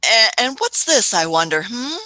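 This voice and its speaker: A woman's voice: a little high, big pitch swings, normal speed, pushed and bright, dry recording. A woman playing an eccentric, curious elderly time traveller who mutters and hums while she thinks, in an amateur radio play. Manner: muttering hmms, musing, hesitant restarts.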